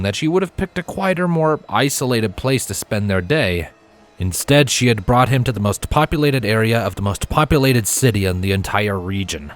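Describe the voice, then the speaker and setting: A man reading fan fiction aloud as an expressive, scripted storyteller. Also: on the low side.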